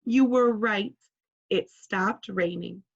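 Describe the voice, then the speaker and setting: A woman voicing an eager, curious and easily disheartened toad from a storybook, with expressive questioning. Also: medium pitch, takes it slowly, creaky, slightly rough, lo-fi sound, exaggerated voice. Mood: excited.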